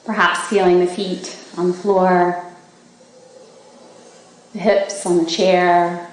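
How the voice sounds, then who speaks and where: A woman's voice: lowish voice, flat delivery. Woman guiding meditation in a very echoey room. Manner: slow, gentle instructions with long pauses. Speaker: meditation teacher leading a body scan. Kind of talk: guided meditation, spoken live to a group. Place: large indoor gathering space. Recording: very echoey room with faint background noise.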